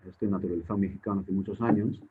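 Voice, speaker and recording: A man's voice: sits low, fairly even intonation, racing, background noise. A man born in Spain speaking as a guest expert in an online forum, emphatic, with rhetorical questions and repeated words while he searches. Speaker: guest expert on public security. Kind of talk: conversational lecture in an online forum. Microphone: video call. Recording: tinny phone-like online call audio.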